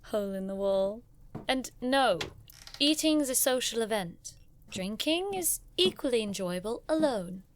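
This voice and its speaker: Posh-witted young mage lady, dripping sarcasm, highish voice, bit of noise. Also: animated, a little slow. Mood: dry sarcasm. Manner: wry, teasing, arch. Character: elegant, sarcastic young lady scholar of magic. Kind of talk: audio drama acting.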